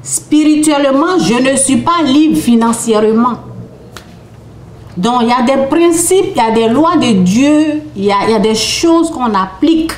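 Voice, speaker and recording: A woman's voice: high-pitched, measured pace, a little mellow, slight background noise. A woman from Côte d'Ivoire gives an informal spiritual talk as an author. She speaks off the cuff, restarts phrases and keeps checking in with her listeners. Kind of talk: informal spiritual teaching to an audience. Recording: roomy echo.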